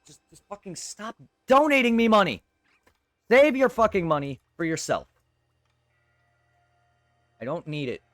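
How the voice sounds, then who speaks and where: A man's voice: sits high, big pitch swings, measured pace, long pauses, dry recording. A man talking off the cuff to his chat on a livestream, blunt and repetitive, swearing at times. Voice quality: normally very quiet voice. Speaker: live streamer. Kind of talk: unscripted livestream talk to chat.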